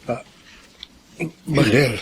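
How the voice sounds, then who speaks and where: Radio drama: old Filipino dad, humble, halting and remorseful, mid-range voice over slight noise. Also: male voice, medium pitch. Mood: anxious, humble. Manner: faltering, hesitant, pleading. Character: remorseful, pleading old father, humble and hesitant.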